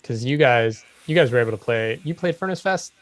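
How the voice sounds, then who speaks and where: A man's voice: mid-range voice, expressive, a little fast, dry recording. A man hosting a podcast, asking questions in a loose, chummy way with lots of affirmations. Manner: laid-back and chummy, frequent affirmations and laughs. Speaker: podcast host. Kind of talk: casual interview chat.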